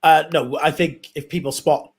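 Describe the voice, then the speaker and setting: A Welsh man co-hosting a football watchalong, calling the play in passing and ribbing his co-host. His voice sits somewhat high for a man. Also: steady tone. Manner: teasing, quick banter. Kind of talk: casual live match commentary and chat.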